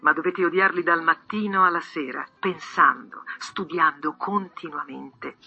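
A man's voice: fairly high pitch, moderate pace, creaky, sharp tone, muffled recording. A man making an urgent, exhorting appeal in an old archival radio broadcast. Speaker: wartime radio announcer.

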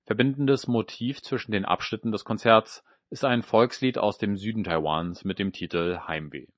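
A man's voice: on the low side, normal speed, no echo. A man presenting a culture programme, reading a scripted radio report.

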